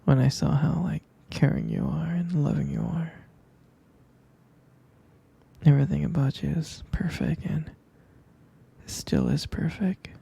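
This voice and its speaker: A man playing a devoted, soothing expectant father in an intimate ASMR roleplay, murmuring affectionately in a soft, breathy, husky whisper. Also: a little high, wildly swooping pitch, full character voice. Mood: loving, wistful. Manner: slow, murmuring, reassuring, affectionate.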